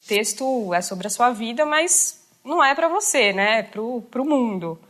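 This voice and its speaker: Woman expert, slightly high voice, explanatory interview manner. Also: lively intonation, measured pace, a bit bright, a little hiss or hum. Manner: explanatory, frequent "né" tags. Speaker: expert, teacher.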